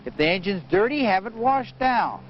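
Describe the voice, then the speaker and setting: A man giving methodical, measured instruction in the manner of a training film, as a flight safety instructor. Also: sits high, animated. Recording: muffled noisy vintage training film soundtrack.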